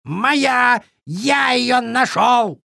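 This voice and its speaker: A man voicing an excitable, boastful raven in a children's story, with loud squawking exclamations. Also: extremely high pitch, very slow, gravelly, no echo, clean studio sound, pitched above the performer's own voice, exaggerated voice. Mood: possessive.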